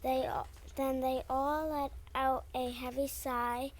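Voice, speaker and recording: A woman's voice: sits high, little pitch movement, slow, a little hiss or hum. A woman reading scripted storybook narration for a readers theater performance.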